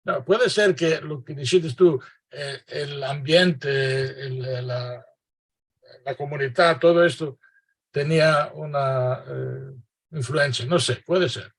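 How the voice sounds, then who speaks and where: A man's voice: fairly high pitch, takes it slowly, creaky, husky. An older man from Greece, a linguist guest answering interview questions over a video call, hesitant and full of fillers and restarts, laughing often.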